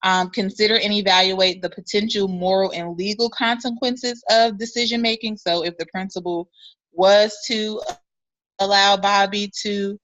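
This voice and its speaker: A woman presents to her class over a video call, speaking deliberately and hesitantly, with many "um" pauses. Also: mid-range voice, steady tone, fairly bright tone. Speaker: student presenter. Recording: muffled video call audio.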